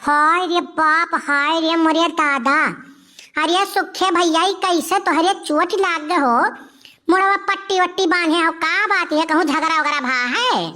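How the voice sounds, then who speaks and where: A woman's voice: very high voice, measured pace, pushed and bright, full character voice. A woman voicing a fussing, concerned villager with an Awadhi accent in a cartoon comedy, wailing and exclaiming questions. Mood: alarmed concern.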